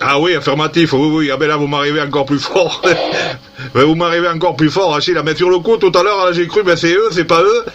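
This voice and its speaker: A man's voice: fairly high pitch, fairly even intonation, fast talker, over music. A man chatting casually as an amateur radio operator, amazed and repeating himself. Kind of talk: casual CB-style radio contact. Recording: dull lo-fi radio contact recording.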